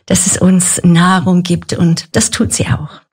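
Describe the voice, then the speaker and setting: A woman's voice: on the low side, monotone, measured pace, husky. An older woman, a native English speaker from Nigeria, speaking German with a light English accent as a modest guest on a podcast. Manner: warm, modest, laughs lightly. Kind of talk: casual interview.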